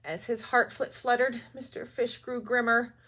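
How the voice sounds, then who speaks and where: A woman teacher reading a children's picture book aloud with expressive storytelling and quick, quiet asides. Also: a little high.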